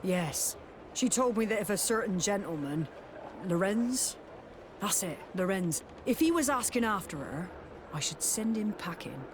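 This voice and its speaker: High-voiced kindly sailor in noise. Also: male voice, big pitch swings, a little slow. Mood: concerned. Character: kindly, sympathetic, trusting male sailor. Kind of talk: video game cutscene dialogue. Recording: clear noise, outdoor ambience behind.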